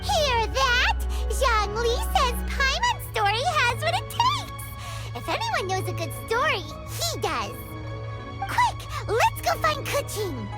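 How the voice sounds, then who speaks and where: A woman voicing a tiny, excitable fairy companion in a game, talking in an animated, exclamatory way. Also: slow pace, exaggerated voice. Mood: excited. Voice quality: squeaky, bubbly, refers to herself by name. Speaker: game character. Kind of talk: game cutscene voice acting. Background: game score music.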